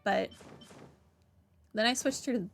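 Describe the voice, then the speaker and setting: A woman's voice: a little high, slow pace, gravelly, soft background music. A woman giving casual playthrough commentary as a gaming host, rambling and dryly sardonic. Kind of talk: casual playthrough commentary, reading dialogue aloud.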